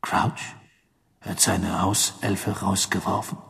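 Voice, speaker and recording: Man playing a hushed, brooding, husky man. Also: fairly even intonation, long reverb tail, exaggerated voice. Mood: probing. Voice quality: hushed, breathy, low. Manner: slow, probing questioning. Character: brooding adult man on the run, grave and probing.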